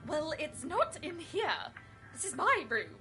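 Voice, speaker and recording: A woman as a shrill, snappish cartoon lady. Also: sing-song, a little slow, bright, forceful tone, faint music behind, exaggerated voice. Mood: affronted. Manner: curt, scolding. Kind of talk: cartoon video game voice acting.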